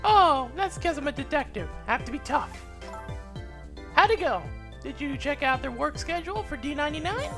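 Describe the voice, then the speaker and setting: Woman acting a high, excitable brash lady detective. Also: normal speed, over music. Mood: brazen. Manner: emphatic, defensive, excitable. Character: brash, headstrong young female detective, highly strung.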